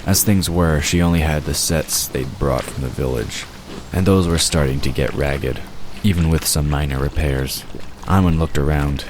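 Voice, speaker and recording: Very deep-voiced male narrator. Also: normal speed, background noise. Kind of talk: scripted audio drama narration.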